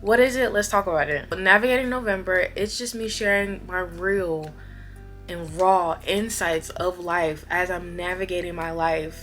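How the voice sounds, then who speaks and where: A young woman in her twenties talks straight to her viewers in a bright, clear voice. She is chatty and animated, often repeating words for emphasis. Soft music plays faintly underneath. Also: on the low side, normal speed. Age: 20s. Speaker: vlogger. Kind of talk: casual chat to camera.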